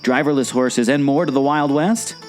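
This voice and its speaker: A man playing an excitable, skeptical, wisecracking amateur explorer in a scripted audio drama, with a theatrical, jokey delivery. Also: medium pitch, normal speed, soft background music, close to an everyday voice. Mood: playfully boastful. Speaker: co-host of a theme park podcast.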